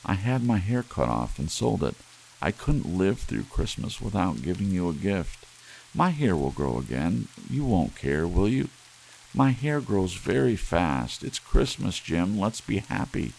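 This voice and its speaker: A man voicing a devoted, anxious young wife in a soft ASMR storybook reading, earnest and pleading. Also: low-pitched, a little slow, vocal fry, pitched above the performer's own voice, exaggerated voice, rough recording. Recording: audible hiss under steady rain sounds. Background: soft rain.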